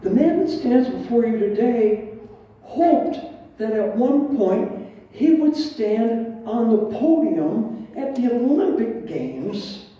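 An older man preaching a sermon in a conversational way, asking his congregation questions and adding asides. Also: very high-pitched. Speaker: pastor. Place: large dim church hall with stage. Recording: roomy and dull from far off.

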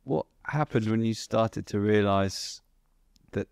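A man's voice: fairly low pitch, slow pace, vocal fry, clean tone, no echo, clean studio sound. A young man hosting a podcast, asking casual, playful questions and retelling his guest's story back to him. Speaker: podcast host. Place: bright living-room studio. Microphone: boom-mounted podcast mic.

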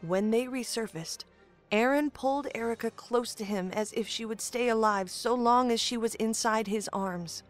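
A woman's voice: fairly high pitch, moderate pace. A woman narrating a scripted dramatic story as the narrator of an audio drama. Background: music.

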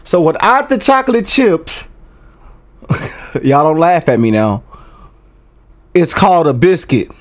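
A man's voice: fairly high pitch, animated, slow, pauses often. A young American man hosting a reaction video on a headset mic, chatty and loose, often saying "you know what I'm saying". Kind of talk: casual reaction commentary.

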